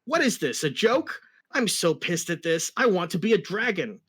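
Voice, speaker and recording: A man's voice: high voice, very animated, moderate pace, fairly bright tone, husky, pitched above the performer's own voice, heavily acted. A man voicing a cocky, brash young cartoon hedgehog hero in a boastful, blunt way. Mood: petulant.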